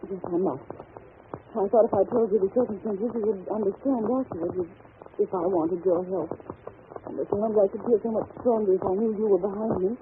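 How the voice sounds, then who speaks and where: A woman's voice: medium pitch, brisk, close to an everyday voice. A woman playing an anxious, polite young governess in a 1930s radio drama, speaking hesitantly and earnestly. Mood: earnest, pleading. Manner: hesitant, earnest, breaking off and repeating. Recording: tinny, noisy old 1930s radio recording.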